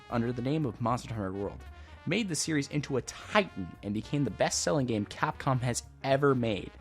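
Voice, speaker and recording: A man's voice: medium pitch, gravelly. A man reading a scripted, comedic video game overview in a sarcastic, profane, irreverent way. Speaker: video creator and narrator. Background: instrumental music.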